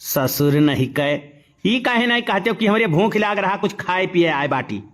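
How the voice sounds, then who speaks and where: Man voicing a high-pitched sarcastic village patriarch. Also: animated, moderate pace, a bit bright. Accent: Awadhi. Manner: sarcastic, grumbling. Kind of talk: comic cartoon dialogue.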